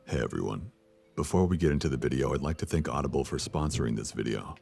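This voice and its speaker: A man with a very deep voice giving a calm, scripted sponsor read and horror story narration. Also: fast talker, creaky, faint music behind.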